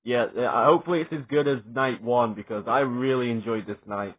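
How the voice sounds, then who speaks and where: A young adult man giving a rambling, excited spoken review, swearing often and stumbling over fillers and restarts. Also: medium pitch, a little fast. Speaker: wrestling reviewer. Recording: tinny phone-like sound.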